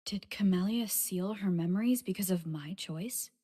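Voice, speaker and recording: A woman playing an earnest, thoughtful young female adventurer in a video game, musing to herself in a hushed, breathy voice. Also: medium pitch, moderate pace, lightly acted. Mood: pensive.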